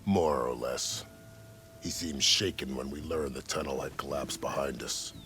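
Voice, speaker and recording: An actor playing a plain-spoken, seasoned caravan scout in a video game, with a folksy, matter-of-fact manner. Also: male voice, a bass voice, unhurried, a bit bright, faint music behind. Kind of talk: video game character acting.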